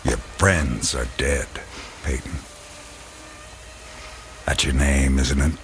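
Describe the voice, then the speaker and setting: An actor playing a coolly taunting army officer, deliberate and mock-polite, in a radio drama. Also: male voice, rumbling low, steady tone, a bit bright, a little hiss or hum, exaggerated voice. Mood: cold menace.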